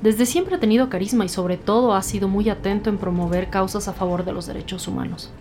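A woman's voice: medium pitch, brisk, mellow, lightly acted. A woman playing a composed, businesslike senior detective in an audio drama. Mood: brisk, analytical. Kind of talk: dramatic podcast dialogue.

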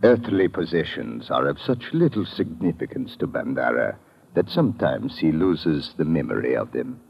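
An actor playing a pompous, serene fake mystic in a 1950s radio drama, solemn and grand, with a gravelly voice. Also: male voice, a bass voice, very animated, moderate pace, heavily acted. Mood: lofty, serene. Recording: muffled, noisy vintage radio recording.

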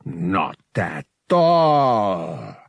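Alien high priest, audiobook, performed by a man: pompous, self-satisfied, slow, pitch swooping upward. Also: huge pitch swings, vocal fry, no echo, dull audio, exaggerated voice. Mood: smug. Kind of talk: audiobook character dialogue.

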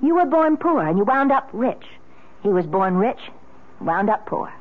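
A woman's voice: a little high, very animated, unhurried. A woman acting in a 1970s radio drama as a witty, socially ambitious middle-aged American wife with an arch, polished delivery. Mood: breezy, teasing. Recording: narrow, phone-like old radio sound.

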